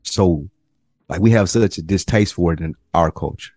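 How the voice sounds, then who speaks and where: A man co-hosting a podcast, chatting loosely in slang and working through his points with pauses and restarts. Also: sits low, darkish tone, husky, no room sound, studio quality. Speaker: podcast co-host. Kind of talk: casual chat.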